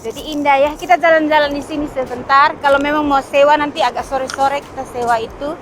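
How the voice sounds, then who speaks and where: A woman's voice: sits high, steady tone, quick pace, a bit bright, slight background noise. A middle-aged woman from eastern Indonesia chatting casually as she walks along a beach, with frequent "eh" fillers and short laughs. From East Nusa Tenggara, eastern Indonesia. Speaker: travel vlogger. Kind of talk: casual walking vlog chat. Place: outdoors on a beach.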